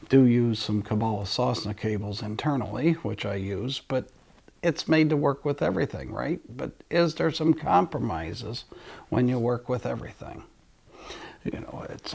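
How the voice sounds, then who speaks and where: A man's voice: mid-range voice, creaky, a little mellow. A man hosting an audio channel, talking casually to his listeners in a rambling way, often restarting sentences mid-thought.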